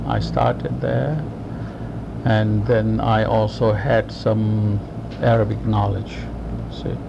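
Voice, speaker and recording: A man in his sixties with a Thai accent, answering oral history questions in a halting way, with many fillers and restarts. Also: on the low side, flat delivery, creaky. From Thailand. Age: 60s. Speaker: interviewee. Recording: muffled noisy tape recording.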